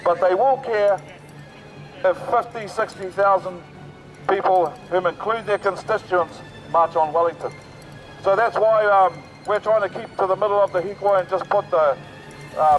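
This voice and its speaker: Hoarse New man on a megaphone. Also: sits high, steady tone, moderate pace, faint music behind, poor audio. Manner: rousing, repetitive, with fillers. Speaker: protest march speaker. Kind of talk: outdoor rally speech through a loudspeaker. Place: outdoors on a city street.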